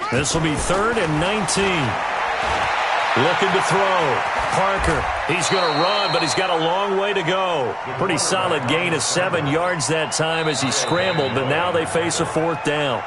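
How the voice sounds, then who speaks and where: A man with an American accent playing a polished play-by-play announcer, calling a football video game in crisp, emphatic TV style. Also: a little high, close to an everyday voice. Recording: loud stadium crowd noise behind. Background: stadium crowd, game noise.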